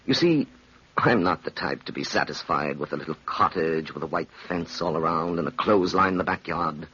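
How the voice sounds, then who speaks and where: A man's voice: deep voice, a little fast, bright, forceful tone, slightly rough, full character voice. An actor playing a cold, ambitious, calculating man who talks persuasively and theatrically in an old radio drama. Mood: falsely earnest. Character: cold, calculating, smooth-talking ambitious male schemer. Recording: dull, lo-fi old radio recording.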